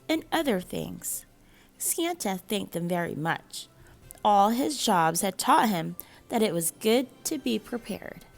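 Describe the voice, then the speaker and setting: Woman librarian reading a kids book out loud, slow n animated, bit of a husky voice, music behind. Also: mid-range voice. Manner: measured, animated storytelling with dramatic pauses. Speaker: school librarian reading a picture book aloud. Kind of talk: expressive children's storybook read-aloud.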